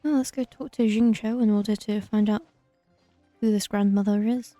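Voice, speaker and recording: A woman gives casual gameplay commentary in a mellow, dark-toned voice at medium pitch. She is dry and wry, closely recorded with very little room sound and faint game music behind. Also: fairly even intonation, moderate pace, no room sound. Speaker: let's play streamer.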